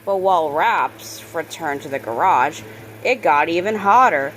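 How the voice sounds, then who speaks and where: A woman reading a children's book aloud, expressive on characters' lines and sometimes stumbling and correcting herself. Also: low voice, slight background noise. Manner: halting reader, stumbles and corrects words. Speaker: storybook reader.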